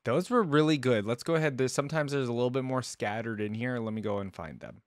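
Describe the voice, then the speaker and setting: A man's voice: medium pitch, quick pace, dry recording, clean studio sound. A man hosting a reaction video, giving casual commentary in slangy, informal speech. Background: faint music.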